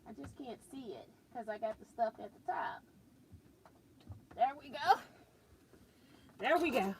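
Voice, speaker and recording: Loud chatty lady sewing on a live stream, higher voice, laptop mic a few feet away, bit of hiss. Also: animated, a little slow. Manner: chatty, thinks aloud while working, chuckles often. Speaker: quilting and sewing livestream host. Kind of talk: casual live demo chat. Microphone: built-in laptop mic about four feet away.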